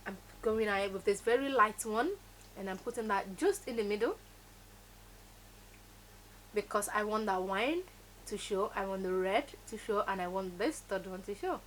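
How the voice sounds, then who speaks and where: A woman's voice: medium pitch, expressive, moderate pace, pauses often, a bit bright, slight background noise, low-quality recording. Woman teaching makeup. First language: Yoruba. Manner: instructive, emphatic, repeats key words. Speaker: makeup tutorial host. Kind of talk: step-by-step beauty tutorial.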